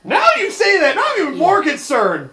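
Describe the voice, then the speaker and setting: Animated, swearing game commentator. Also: extremely high pitch, fairly quick, reverberant. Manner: laughs often, swears, reacts loudly to scenes. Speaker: let's play commentator. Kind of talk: casual reaction commentary.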